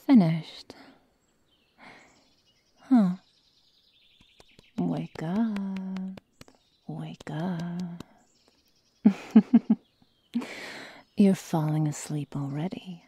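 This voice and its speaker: A woman playing a sadistic young werewolf girl in an ASMR roleplay, coldly teasing and menacing in soft, breathy, often whispered speech close to the microphone. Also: fairly low pitch, muffled recording, full character voice. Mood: sadistic calm, then mocking, reproachful. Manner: slow, soft, mock-tender menace. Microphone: close microphone, dry sound.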